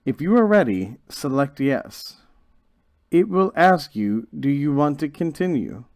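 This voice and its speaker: A man narrating a scripted software tutorial in a measured, instructional way. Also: on the high side, very animated, no echo, clean studio sound. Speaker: software trainer.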